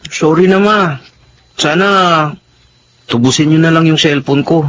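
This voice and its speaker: An actor playing an evasive, wheedling teenage student son in a Filipino radio drama. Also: male voice, on the high side, a little slow. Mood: pleading. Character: evasive, wheedling teenage son, a student who sweet-talks his mother.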